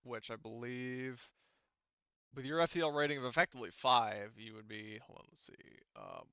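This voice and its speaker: Guy running a tabletop rpg, clear bright voice, rambly and casual, dry slightly dull recording. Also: medium pitch, normal speed, dry recording. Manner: rambling, conversational explaining. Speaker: game master. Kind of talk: casual narrating of a tabletop game.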